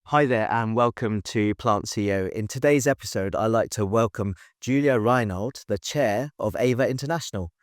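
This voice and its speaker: A man's voice: on the low side, normal speed, few pauses, dry recording. A man hosting a podcast interview, asking friendly, brief questions. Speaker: podcast host.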